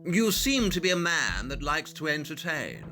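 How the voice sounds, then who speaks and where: An actor playing a cool, provoking detective in an audio play, speaking with precise, erudite confrontation. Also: male voice, a little high, big pitch swings, normal speed, over music. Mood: cool, probing.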